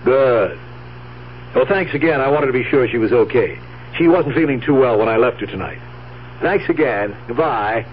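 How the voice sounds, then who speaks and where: An actor playing a scheming, deceitful nephew in a 1970s radio drama, smoothly reassuring with some hesitant stammering. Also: male voice, medium pitch, expressive, moderate pace, a little hiss or hum, natural voice. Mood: falsely concerned. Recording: muffled, humming old radio recording.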